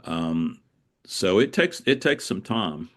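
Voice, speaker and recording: Deep-voiced middle-aged man in interview. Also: very animated. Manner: halting, repeats words as he explains. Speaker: guest, author. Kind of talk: casual interview conversation.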